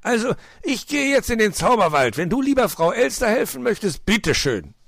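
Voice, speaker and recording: A man's voice: on the high side, big pitch swings, moderate pace, vocal fry, dry recording, clean studio sound, voice pushed up. A man voicing a sly, work-shy uncle fox who talks glibly and patronisingly in a children's story. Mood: angry. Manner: glib, exclaiming, patronising.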